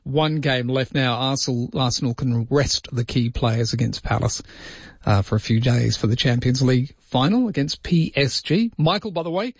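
Brief: male talkback host, husky and chatty, dry studio sound, slightly muffled. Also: mid-range voice, animated, normal speed, no echo. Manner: chatty, musing, talks to callers. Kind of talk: casual talkback radio chat. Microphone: studio microphone. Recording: muffled broadcast audio.

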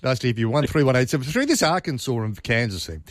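An Australian man hosting a talk radio show, brisk and chatty as he moves between callers and texts. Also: mid-range voice, sing-song. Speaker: radio host. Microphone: studio microphone. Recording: dry studio sound.

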